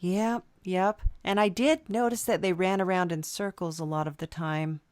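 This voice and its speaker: A woman's voice: fairly low pitch, steady tone, normal speed, dry recording. A woman hosting a podcast, interviewing in a dry, playful, rambling way with many fillers. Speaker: podcast host. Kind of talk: improvised comedy interview.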